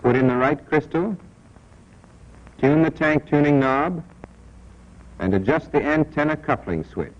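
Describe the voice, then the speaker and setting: An American man narrating a 1940s military training film in a scripted, deliberate, instructional and emphatic manner, on an old film soundtrack. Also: on the high side. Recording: dull, band-limited 1940s film soundtrack.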